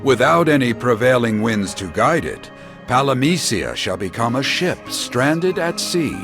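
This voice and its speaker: A man's voice: mid-range voice, very animated, measured pace. A man playing an enigmatic, knowing guide in a video game, with formal archaic diction and a theatrical, portentous delivery. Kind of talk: dramatic video game cutscene acting. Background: game music.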